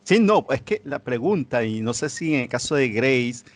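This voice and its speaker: A man hosting a podcast who tells an anecdote in a casual, animated way. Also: medium pitch, moderate pace, dry recording. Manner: animated storytelling with quoted dialogue. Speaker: podcast host. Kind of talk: casual conversation, telling an anecdote. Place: living in Chile.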